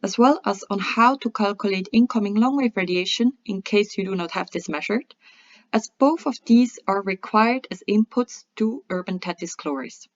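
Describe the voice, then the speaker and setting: A woman presenting a scripted software tutorial in a methodical, step-by-step instructional way. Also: mid-range voice, moderate pace. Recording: dull lo-fi voice-over.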